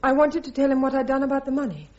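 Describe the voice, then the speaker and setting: Quick-speaking, earnest army woman witness actress. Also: sits high, fairly even intonation, a little mellow, lightly acted. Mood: earnest, formal. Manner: formal, precise, earnest. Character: dutiful female army captain testifying as witness. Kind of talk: radio drama acting. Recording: dull, band-limited radio recording.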